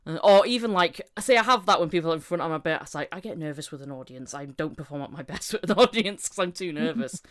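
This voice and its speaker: A woman's voice: sits low, expressive, fast talker, hardly any pauses. A woman hosting a podcast in casual chat, giggly and self-deprecating as she shares anecdotes. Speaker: podcast host and voice actor.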